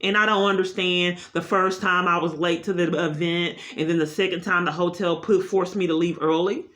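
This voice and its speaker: A woman's voice: on the low side, fairly even intonation, normal speed, a bit bright. A woman caller speaking unscripted in an insistent, long-winded complaint, often repeating herself.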